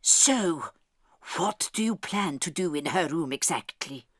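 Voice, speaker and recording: A woman's voice: deep voice, animated, measured pace, breathy edge, no room sound, clean studio sound, heavily acted. A woman playing a doting, conspiratorial old grandmother, bold and sprightly, in an audio drama. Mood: fond, curious.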